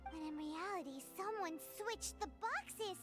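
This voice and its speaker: An actress voicing a tiny, excitable female companion creature in a video game, squeaky and inquisitive. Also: over music. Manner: squeaky, quick questions. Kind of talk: game voice acting.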